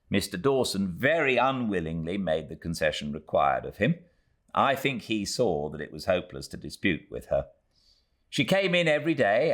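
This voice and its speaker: British male audiobook narrator, very deep register, animated pitch movement, measured and dramatic delivery of classic fiction. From Britain.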